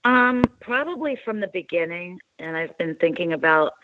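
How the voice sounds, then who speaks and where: A woman's voice: fairly low pitch, lively intonation, moderate pace, no room sound. A woman from Long Island, New York, shares her faith story as a radio guest, rambling and hesitating over her words. Her voice comes through muffled and lo-fi, with a dry, close sound. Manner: rambling, hesitant, many fillers. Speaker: interview guest. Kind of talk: telling a personal faith story.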